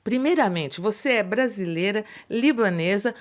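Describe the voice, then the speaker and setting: A woman's voice: medium pitch, animated, moderate pace. Woman radio host with a mid-range voice, formal and composed, posing studio interview questions. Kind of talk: scripted introduction and interview questions.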